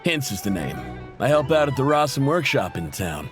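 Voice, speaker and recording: Casting: easygoing game machinist, played by a man, mid-pitched, lively and expressive, modest and chatty, music underneath. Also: normal speed, close to an everyday voice. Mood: jovial, welcoming. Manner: affable, conversational, self-deprecating. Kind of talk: video game character acting. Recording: music behind the voice.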